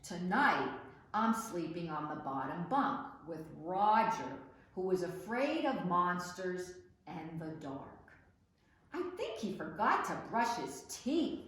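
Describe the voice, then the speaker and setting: Casting: female storytime reader, unhurried and highly expressive, recorded in a very echoey room with slight noise. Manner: animated, dramatic, measured. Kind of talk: expressive children's picture-book read-aloud.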